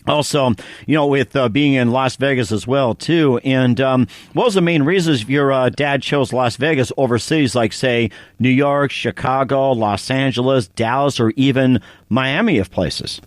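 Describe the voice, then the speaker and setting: A man's voice: mid-range voice, normal speed, no room sound. A male podcast host reading sponsor spots and chatting with a guest, rambling with lots of fillers and repeated phrases. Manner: rambling, many fillers and repeated "as well, too". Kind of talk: casual interview with read sponsor spots.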